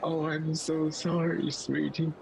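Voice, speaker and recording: A man's voice: fairly high pitch, flat delivery, slow pace, creaky, slight background noise, pitched above the performer's own voice, rough recording. Man putting on a flustered, kindly old man. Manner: hesitant, apologetic.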